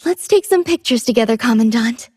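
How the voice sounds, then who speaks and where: A woman playing a gentle, poetic female android companion in a game, soft-spoken and wistful with a breathy voice. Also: medium pitch, a little slow, dry recording, clean studio sound. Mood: cheerful. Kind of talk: scripted game character voice lines.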